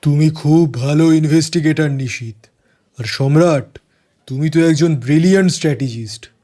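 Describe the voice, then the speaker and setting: An actor playing a shrewd, stern police inspector in an audio drama, measured and authoritative, dropping in English phrases. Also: male voice, fairly high pitch, mellow, natural voice. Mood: measured, probing. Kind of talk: audio story acting.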